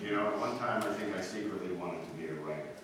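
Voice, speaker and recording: A man's voice: deep voice, fast, lightly acted. A man playing a suave, teasing upper-class ex-husband in an old-time radio comedy, breezy and mocking. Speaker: actor in a live radio play. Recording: very echoey hall, distant mic, faint hiss.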